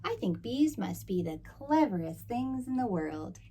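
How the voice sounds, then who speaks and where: A woman's voice: mid-range voice, big pitch swings, mellow, a little hiss or hum. Woman playing a proud, scornful tiger. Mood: admiring. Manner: emphatic, drawn-out, condescending.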